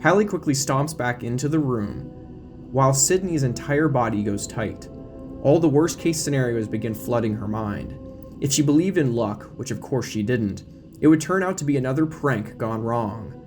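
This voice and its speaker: A man narrates an audio drama in a scripted, dramatic style. His pitch sits in the middle range for a man. Music plays clearly underneath his voice. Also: expressive, moderate pace. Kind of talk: scripted dramatic narration reading all parts.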